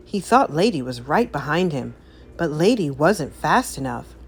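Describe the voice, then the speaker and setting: A woman narrating a children's story as an expressive read-aloud. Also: deep voice, measured pace, breathy edge.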